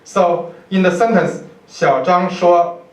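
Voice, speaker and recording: Male professor, Chinese accent, deliberate halting delivery, academic lecture, fairly high pitch, very echoey room. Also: darkish tone. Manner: halting, deliberate, with repeated words. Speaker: university professor giving a lecture. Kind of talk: academic lecture read from notes. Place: indoor university lecture room, speaker at a podium. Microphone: far camera microphone. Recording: roomy, distant lecture hall pickup.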